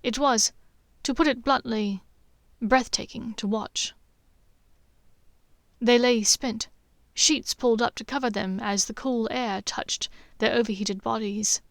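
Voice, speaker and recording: A woman reads a story aloud in a steady, soft and intimate way, her voice sitting a little high. The recording is close and very dry, with no room sound. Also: takes it slowly, vocal fry, no room sound. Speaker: podfic narrator and author. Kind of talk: audiobook fan-fiction reading.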